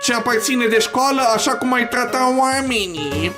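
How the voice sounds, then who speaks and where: A man's voice: very high voice, expressive, over music, voice pushed up. A man voicing a polite, patiently strict female monster teacher addressing a class in a video game. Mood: polite, firm.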